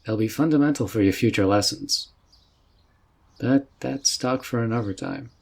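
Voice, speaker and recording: A man playing a friendly, relaxed mage mentor with a folksy, reassuring way of talking in a roleplay audio drama. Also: medium pitch, normal speed, vocal fry, breathy edge. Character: easygoing, friendly male fantasy mage mentor.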